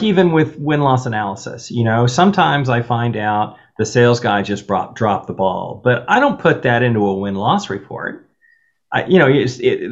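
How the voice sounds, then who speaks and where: A man on a panel tells animated stories full of wry, exasperated asides. His voice is mid-pitched. The audio is slightly muffled and lo-fi. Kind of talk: casual panel discussion.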